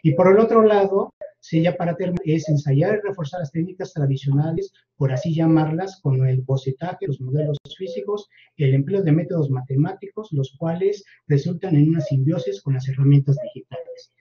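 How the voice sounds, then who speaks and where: A man presents formally at an online academic conference, with long, wordy sentences that sometimes halt. His voice is fairly high and very soft-edged, heard through dull, muffled call audio. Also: brisk, hardly any pauses. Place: virtual conference video call.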